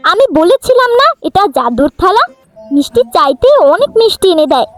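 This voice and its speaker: An excitable little girl from a village fairy-tale cartoon , voiced by a woman. Her voice is extremely high and swings up and down, over faint music. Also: brisk. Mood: proud, excited.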